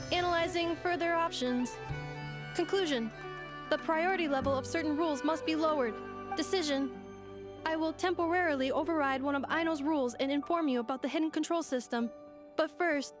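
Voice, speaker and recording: A woman voicing a calm, logical android helper woman who speaks in clipped, formal, machine-like reports in a video game. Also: high-pitched, close to an everyday voice.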